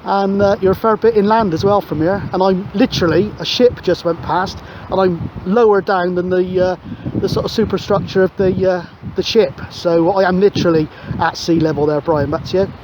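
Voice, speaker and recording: High voiced bloke doing cb radio chat outside by the sea, matey rambler, dull sound with wind noise. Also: male voice, steady tone, normal speed. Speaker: CB radio operator and vlogger. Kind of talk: casual radio chat and narration to camera. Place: outdoors on a windy seashore at night. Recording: dull outdoor recording, clear wind noise. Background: wind and outdoor ambience.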